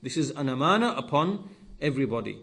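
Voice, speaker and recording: A man teaching scripture in a sermon-like lesson, speaking in short deliberate phrases and repeating key words for emphasis. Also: medium pitch, very animated, a bit bright, husky. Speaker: religious teacher.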